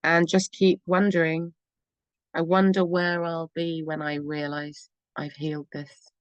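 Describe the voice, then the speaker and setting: A woman's voice: deep voice, level tone, measured pace, no echo, lo-fi sound. Rambling, encouraging woman radio host. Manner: rambling and encouraging, laughs often. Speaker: radio show host and EFT therapist. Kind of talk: casual spoken advice on a talk radio show.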